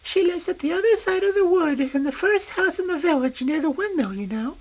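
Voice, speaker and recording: A woman doing a high, chirpy little girl. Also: lively intonation, a little fast, a little mellow. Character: innocent, trusting little girl.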